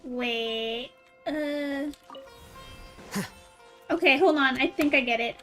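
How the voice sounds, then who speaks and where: A woman's voice: sits high, slow, a bit bright, soft background music. A woman streamer commenting casually while playing a game, with chatty, wry asides. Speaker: gaming streamer.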